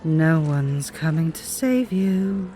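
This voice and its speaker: Menacing female villain scientist, played by an actress, slow and dark-toned, hushed taunts, faint music behind. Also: sits low, lively intonation. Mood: taunting. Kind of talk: audio drama acting.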